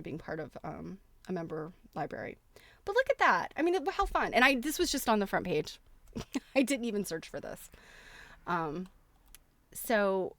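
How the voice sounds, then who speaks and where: A woman's voice: on the low side, no echo. A woman hosting a casual livestream, chatting enthusiastically and thinking aloud as she walks through websites. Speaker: host of a craft livestream.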